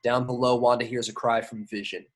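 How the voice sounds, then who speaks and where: Guy narrating script action, average pitch, matter of fact, stumbles a bit. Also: flat delivery, brisk. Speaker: stage-direction reader. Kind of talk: reading screenplay action lines at a table read.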